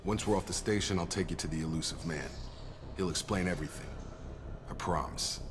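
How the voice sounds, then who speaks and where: A man playing an earnest, loyal soldier in a video game, speaking in a direct, informal and steady way. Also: sits low, a little fast, background noise, natural voice. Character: earnest, loyal male soldier, plain-spoken and conscientious. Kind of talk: video game cutscene acting.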